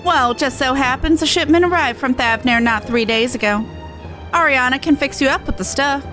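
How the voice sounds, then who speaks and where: A woman's voice: high-pitched, normal speed, bright, forceful tone, soft background music, voice pushed up, rough recording. A woman voicing a folksy, colloquial, shrewd merchant from a fantasy game. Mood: folksy, sly.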